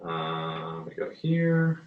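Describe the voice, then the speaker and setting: A man giving a casual, unscripted tutorial as an art teacher, haltingly thinking aloud as he works. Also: deep voice, very animated, phone-quality sound, heavy background noise. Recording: echoey, roomy sound.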